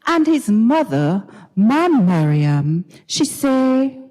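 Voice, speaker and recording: A Grenadian-accented woman narrates a story aloud in a reverberant recital hall. Her fairly high voice is very expressive and conversational, moving at an unhurried storyteller's gait. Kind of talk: dramatized storytelling reading.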